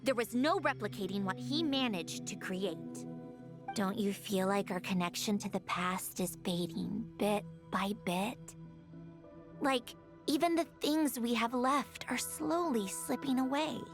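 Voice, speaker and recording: A woman's voice: on the high side, a little slow. A woman playing a grieving, bitter young woman in a video game, wistful and self-mocking, with dramatic delivery. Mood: mournful. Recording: game dialogue under clear music.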